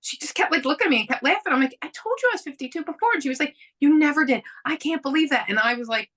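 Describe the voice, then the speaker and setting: A woman in her fifties co-hosting a casual chat, slightly hoarse after a cold, bubbly and laughing a lot. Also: high-pitched, animated, rapid-fire, a bit bright. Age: 50s. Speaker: podcast co-host. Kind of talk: casual chat between friends.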